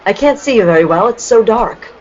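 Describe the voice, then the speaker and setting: A woman's voice: a little high, animated, brisk, a little mellow, lightly acted. A woman playing a trusting, easily startled young sweetheart heroine in an old-time radio play. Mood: trusting, flustered. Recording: muffled, lo-fi audio with noise.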